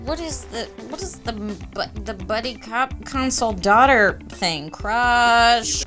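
A woman's voice: on the high side, expressive, slow, fairly bright tone. A woman in her thirties chatting casually as a game show contestant. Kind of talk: casual, animated game banter. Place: small decorated indoor studio set. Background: music.

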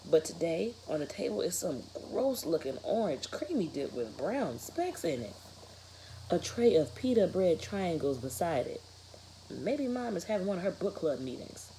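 A woman's voice: lowish voice, sing-song, normal speed, dark tone, slight background noise, poor audio. A woman in her thirties, a teacher reading a children's novel aloud and putting questions to students. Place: bedroom, indoors, sitting in bed.

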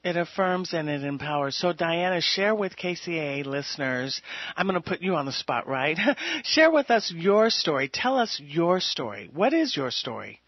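A woman interviews a guest on radio in a conversational style. Her pitch swings widely, and the sound is close and dry but a little dull. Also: sing-song, moderate pace, no echo.

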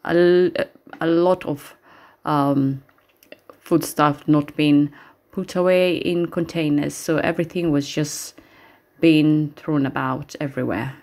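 A middle-aged woman narrating a casual home vlog in a halting, hesitant way, pausing often. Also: low-pitched, fairly even intonation, a little mellow. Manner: hesitant, halting delivery with frequent pauses. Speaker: home renovation vlogger.

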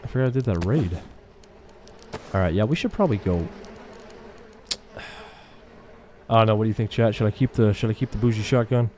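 A man with a very deep, dark, relaxed voice. He chats casually through a game stream in slangy, slightly hesitant phrases. There is faint noise in the recording. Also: fairly quick, pauses often. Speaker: game livestreamer. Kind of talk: casual livestream gameplay commentary.

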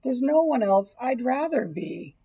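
A woman in her fifties with a fairly high, husky voice reads a children's storybook aloud. She delivers it as a teacher would when reading to young children. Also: very animated, a little slow, dark tone. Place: small indoor school room or library.

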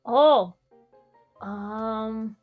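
A woman's voice: on the high side, very slow, phone-quality sound, soft background music. A woman chatting casually during an online group game. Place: online voice call.

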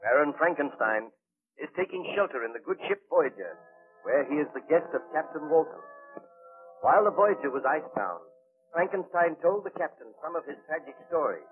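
Formal old radio announcer, music behind. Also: male voice, fairly high pitch, animated, brisk, phone-quality sound. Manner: formal, declamatory. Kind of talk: scripted radio narration.

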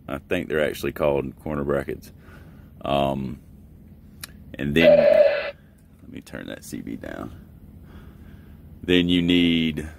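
A man's voice: sits low, vocal fry. A man in his thirties, a truck driver, talking in a laid-back, folksy way in a casual how-to vlog. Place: inside a truck cab.

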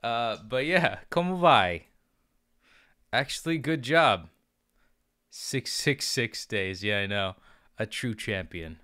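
A young man talking slowly and unhurriedly on his stream, his voice smooth and clean. He jokes and boasts playfully, stumbling through beginner Portuguese between chat replies, close on a studio mic in a dry little room. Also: mid-range voice, expressive, bright, forceful tone, dry recording. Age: young adult. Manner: jokey, playfully boastful, mixes in halting learner Portuguese. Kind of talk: casual live-stream chat, reading chat aloud. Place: small home room. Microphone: studio mic on arm with headphones.

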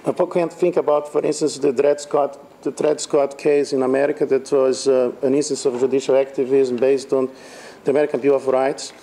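Brief: mellow male panelist, mid pitch, tentative and full of fillers. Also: little pitch movement, a little fast, hardly any pauses, slight background noise, poor audio. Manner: hesitant, searching for words. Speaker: law panelist. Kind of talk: conference panel discussion.

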